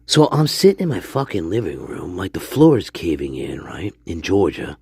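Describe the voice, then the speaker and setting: A man voicing a crude, foul-mouthed storyteller named Stanley, rambling and swearing, often dropping into a hoarse whisper. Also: sits low, sing-song, normal speed, darkish tone, no echo, clean studio sound, pitched below the performer's own voice, full character voice. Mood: conspiratorial. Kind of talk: improvised character monologue.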